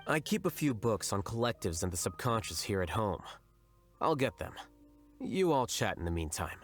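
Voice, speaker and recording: An aloof young scholar from an anime game, with a lowish voice. The delivery is calm, deadpan and articulate, blunt in its logic, over background music. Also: male voice, normal speed. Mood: dry. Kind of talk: anime video game voice acting. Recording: music behind.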